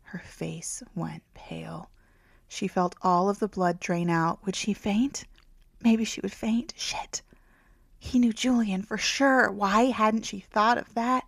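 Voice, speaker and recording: Female narrator, gravelly fry, hushed phrase endings, dramatic romance storytelling, close dry recording. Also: medium pitch, steady tone, takes it slowly, dry recording. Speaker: author reading own audiobook. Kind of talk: scripted narration, romance audiobook.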